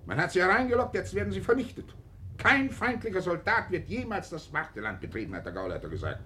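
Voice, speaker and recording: An actor playing a blustering, suspicious Nazi-era farm official in a 1950s German radio play. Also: male voice, high voice, sing-song, fast talker, bright, forceful tone, a little hiss or hum, exaggerated voice. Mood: fanatical, loud. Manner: blustering, officious, insistent questioning.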